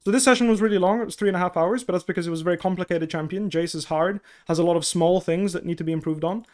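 A man's voice: high-pitched, racing. A young man whose first language is Dutch, coaching on a casual stream from a headset at his desk, explaining step by step with many okays. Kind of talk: casual stream talk, answering chat. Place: small room indoors at a desk.

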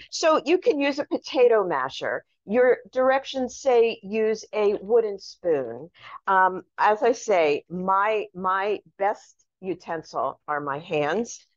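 A woman's voice: medium pitch, expressive, slow. A woman hosting a casual cooking demonstration, chatty and instructive as she explains each step. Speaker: cooking show host. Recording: echoey room, dull lo-fi sound.

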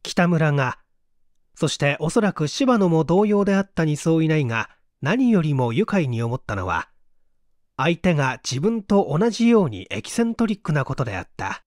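A man's voice: a little high, sing-song, moderate pace, a little mellow, no room sound, clean studio sound. A man narrating a literary story as a dramatic reader.

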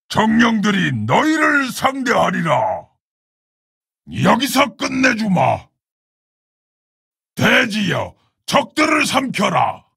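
A man playing a proud, solemn orc warchief in a video game, gravelly and husky, speaking in a commanding, stately, formal way. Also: very high voice, a bit bright, no echo, studio quality, exaggerated voice. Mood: battle fury. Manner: commanding, stately, old-fashioned formal speech. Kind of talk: video game hero voice lines.

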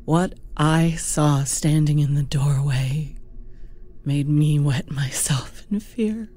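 Breathy, frightened young captive played by a woman. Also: low-pitched. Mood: terrified. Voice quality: breathy, often sinking to a whisper. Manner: slow, halting, hushed. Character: frightened young village wife held captive, narrating her ordeal. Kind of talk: dramatic audiobook horror narration.

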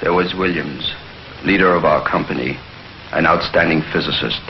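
Deep-voiced, haunted scientist in old sci-fi. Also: male voice, moderate pace. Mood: haunted, grave. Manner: grave, haunted, dramatic recounting. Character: shaken male scientist, survivor of a doomed space expedition. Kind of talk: 1950s science fiction television acting. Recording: dull, lo-fi 1950s television soundtrack. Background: dramatic music.

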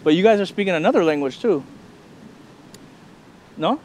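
A man vlogging outdoors, chatty and excited, talking straight to his viewers. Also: sits high, normal speed, slight background noise. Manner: excited, chatty, keeps addressing viewers as guys. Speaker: vlogger hunting iguanas. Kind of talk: casual vlog narration to viewers. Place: outdoors in a neighborhood field.

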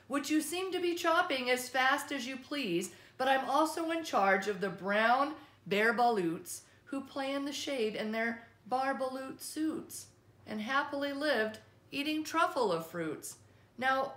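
A woman voicing a grumpy small male forest creature with a croaky, scolding manner, in an echoey room. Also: fairly high pitch, a bit bright, pitched above the performer's own voice. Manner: scolding, indignant.

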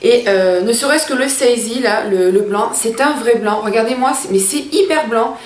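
A woman's voice: fairly high pitch, moderate pace, roomy sound. A woman in her thirties chatting enthusiastically to camera about makeup in a room at home, talking to her viewers as girls. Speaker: beauty vlogger. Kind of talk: casual makeup review to camera. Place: small room at home, indoors.

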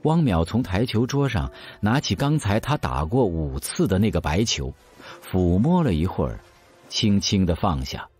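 A man's voice: sits low, sing-song, normal speed, darkish tone, faint music behind. A man narrating a scripted audio drama in a dramatic storytelling style.

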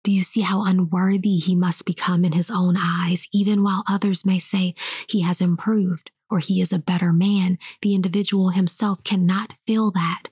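A hushed, breathy whispering woman. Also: medium pitch, no room sound, clean studio sound. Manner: soft unvoiced whisper, slow and hushed. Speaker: spiritual teacher. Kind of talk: whispered guided meditation and spiritual teaching.

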